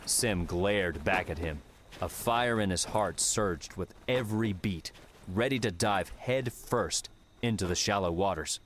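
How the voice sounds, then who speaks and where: A man's voice: sits low, unhurried, a bit bright, slight background noise. A man reading dramatic scripted fiction as an audiobook narrator.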